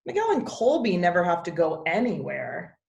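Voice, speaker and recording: A woman's voice: on the low side, wildly swooping pitch, normal speed, gravelly, darkish tone, reverberant, highs cut off, heavily acted. A woman voicing a curious young boy who grumbles and questions in an informal, plaintive way for a storybook. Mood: grumbling.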